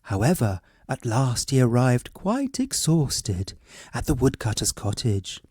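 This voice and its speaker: Mellow male bedtime storyteller, dry sound. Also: mid-range voice, big pitch swings, measured pace, breathy edge, no room sound. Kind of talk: scripted bedtime story narration.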